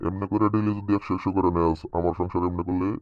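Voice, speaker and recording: Man playing a deep-voiced, fast-scolding cartoon uncle. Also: little pitch movement, no echo, muffled recording, close to an everyday voice. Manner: scolding, rhyming back-and-forth delivery. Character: stingy, scolding uncle worn down by household money troubles. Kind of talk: rhyming comic cartoon dialogue.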